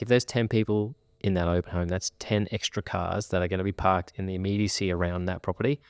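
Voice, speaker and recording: An Australian man co-hosting a podcast, explaining property buying in a relaxed way with practical examples. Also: sits low, smooth, no echo, studio quality. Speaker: podcast co-host and buyer's agent. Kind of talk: casual podcast chat.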